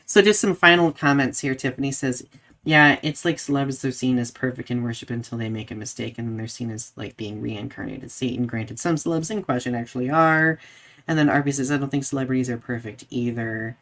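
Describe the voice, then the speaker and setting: A podcast host with a mid-pitched voice of ambiguous gender speaks conversationally. The delivery is reflective and articulate, addressed to a co-host and a live audience. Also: fairly quick, a bit bright.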